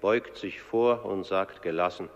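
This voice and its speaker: A man's voice: low-pitched, unhurried, muffled recording. A man narrating a radio play in a solemn, scripted style. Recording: long hall reverb.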